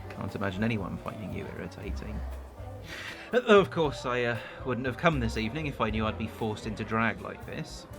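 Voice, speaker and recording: A man's voice: fairly low pitch, sing-song, fairly quick, creaky, over music. An actor playing an awkward, self-deprecating, buttoned-up single man with nerdy tastes in a naturalistic audio drama, hesitant and prone to stammering. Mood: flustered, bashful.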